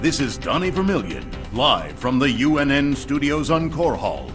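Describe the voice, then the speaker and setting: A man's voice: medium pitch, big pitch swings, moderate pace, music in the background, close to an everyday voice. A man playing a pompous, biased TV news anchor with a slick, sensational and dismissive delivery. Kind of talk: theatrical TV newscast delivery.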